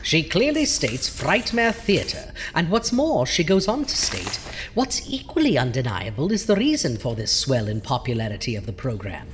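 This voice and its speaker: A woman's voice: very deep voice, big pitch swings, normal speed, big-room sound, slight background noise. A woman playing a vain, pompous ghoulish horror host in a campy audio show, with florid theatrical delivery. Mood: smug.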